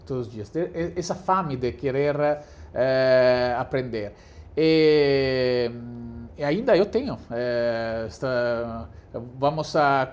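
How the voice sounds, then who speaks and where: A man's voice: medium pitch, darkish tone, slight background noise. A middle-aged Italian man with an Italian accent, a guest in an interview, speaking with many fillers and restarts. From Italy. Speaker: guest, cruise executive. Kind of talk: casual interview talk.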